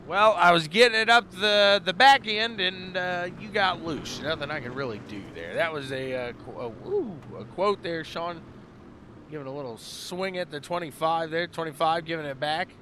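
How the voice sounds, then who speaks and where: A man calling a live dirt-track race as the track announcer, animated and repeating phrases for emphasis. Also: fairly high pitch, moderate pace. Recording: loud engine and track noise. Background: race cars and outdoor track noise.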